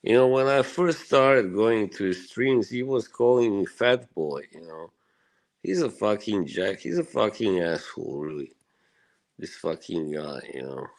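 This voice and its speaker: Bosnian-born streamer guy, really deep voice, slow and rambly, cussing a lot to his chat. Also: expressive. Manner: rambling and profane, full of "you know" and short laughs.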